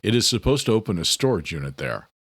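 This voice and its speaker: A man's voice: fairly low pitch, big pitch swings, quick pace, no room sound. Man acting a terse, protective brother. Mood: tense. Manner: terse, firm orders. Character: protective brother, grimly resolute and commanding. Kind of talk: horror thriller audiobook character.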